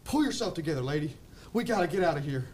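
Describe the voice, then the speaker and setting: A man's voice: on the high side, lively intonation, fast talker, a little mellow, a little hiss or hum, lightly acted. Man acting a commanding Wild West survivor. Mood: urgent, commanding. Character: gruff, urgent Wild West rescuer, male survivor. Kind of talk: amateur audio drama acting.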